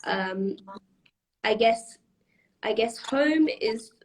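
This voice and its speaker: A woman's voice: fairly high pitch, expressive, drawn-out words, a little mellow, a little hiss or hum. A young woman from Iran, a podcast guest, talking casually with lots of fillers, restarts and laughter. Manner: chatty, laughs often, many fillers and restarts. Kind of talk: casual interview chat.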